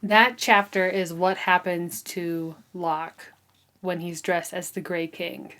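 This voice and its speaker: A woman's voice: lowish voice, fairly even intonation, takes it slowly, fairly bright tone. A woman co-hosting a book podcast, chatting casually with wry, emphatic reactions.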